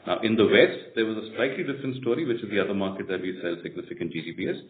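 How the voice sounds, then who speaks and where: An Indian-accented man, a company executive, explaining results in a measured way on an earnings call. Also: on the low side, animated. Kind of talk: answering questions on an earnings call. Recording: echoey narrowband conference phone line, faint noise.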